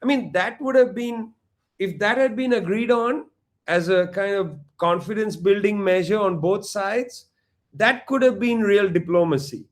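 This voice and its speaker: Man, high-pitched voice, political commentator, emphatic, repeats opening words. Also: unhurried. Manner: fluent, emphatic, frequent repeated starts. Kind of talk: analytical interview talk.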